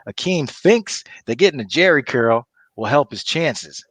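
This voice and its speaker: A man with a mid-pitched voice narrates scene directions in a dry recording. His delivery is informal, like someone casually telling a story. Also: expressive, a little slow, fairly bright tone, no room sound.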